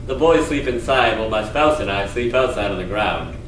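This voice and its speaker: A man's voice: lowish voice, lively intonation, fairly quick, recorded from a distance, lightly acted. A man playing a destitute father living in a shanty town, interviewed for a mock 1930s news report. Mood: bleak. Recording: echoey room, off-mic, faint noise.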